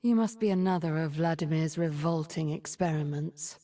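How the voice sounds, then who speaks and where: A woman voicing a cunning, imperious game sorceress in a measured, theatrical, condescending and breathy manner. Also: low-pitched, warm tone. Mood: disdainful. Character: cunning, imperious sorceress leading a secret cabal. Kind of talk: video game character voice lines.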